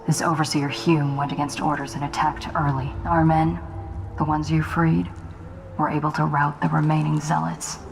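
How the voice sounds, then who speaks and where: A woman's voice: low voice, little pitch movement, moderate pace, gravelly, noisy background. A clipped female assassin lieutenant. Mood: urgent. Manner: clipped, matter-of-fact reports. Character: ambitious young female assassin lieutenant, guarded. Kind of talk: voiced game cutscene. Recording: game music behind.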